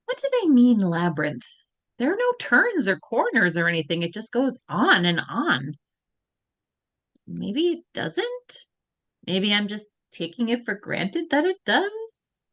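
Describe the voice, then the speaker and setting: A woman's voice: medium pitch, big pitch swings, measured pace, fairly bright tone. A woman voicing a determined, impatient teenage girl who answers sharply. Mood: puzzled. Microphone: video call.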